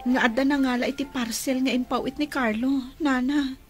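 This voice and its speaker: A woman's voice: high-pitched, steady tone, normal speed, slightly rough, faint music behind. A woman playing an earnest, wronged young woman in a radio drama, with a Filipino accent. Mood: dismayed.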